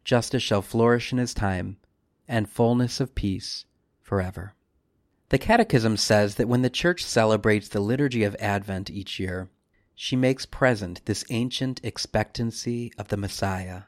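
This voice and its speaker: A man speaking as a priest, leading a scripted devotional meditation with measured, solemn phrasing and deliberate pauses. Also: lowish voice, dry recording, studio quality.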